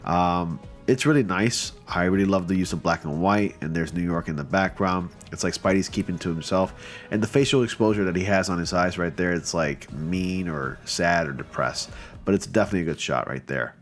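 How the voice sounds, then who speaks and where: A man's voice: low voice, a little fast, soft background music. A man with a stuffy, just-off-a-cold voice, casually and enthusiastically commenting on fan photo submissions with lots of fillers. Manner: chatty and enthusiastic, with many fillers.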